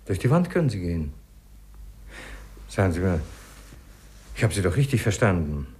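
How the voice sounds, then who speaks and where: Urbane, deep-voiced doctor. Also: male voice, sing-song, normal speed, a little mellow. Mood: curious, probing. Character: urbane, inquisitive male doctor, affable and self-assured. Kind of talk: 1950s German radio play acting.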